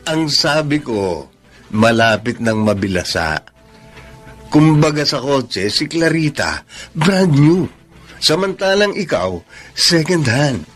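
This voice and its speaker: A man's voice: on the high side, sing-song, slow pace, long pauses, a bit bright, faint music behind, heavily acted. An actor with a Filipino accent playing a cocky, deceitful young womanizer in a radio romance drama. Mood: teasing. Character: cocky, deceitful young bachelor lover, teasing and lustful.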